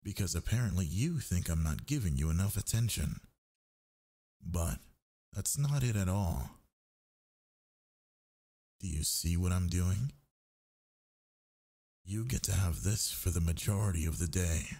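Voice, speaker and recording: Needy, teasing boyfriend for intimate audio roleplay, male actor: slow, mellow, breathy, murmured coaxing. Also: low voice, animated, full character voice. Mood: relaxed, reassuring. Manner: coaxing, murmuring, drawn-out words. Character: sleepy, needy, teasing gamer boyfriend, young man.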